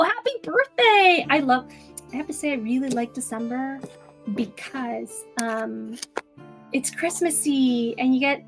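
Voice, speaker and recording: A woman hosting an art livestream, chatting casually with viewers, rambling with lots of ums and restarts and easy laughs. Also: high-pitched. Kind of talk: casual chat with live chat. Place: home room. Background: soft music.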